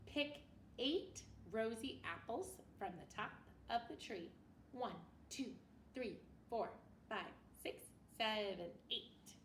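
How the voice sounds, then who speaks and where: A woman reads a children's picture book aloud like a schoolteacher, at an unhurried pace with big swoops in pitch. She is expressive and breaks off to put questions to her young listeners. The sound is roomy, as if the microphone sits some way off. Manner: animated, expressive, pausing to ask the listeners questions. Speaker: elementary school teacher. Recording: roomy, distant mic with faint noise.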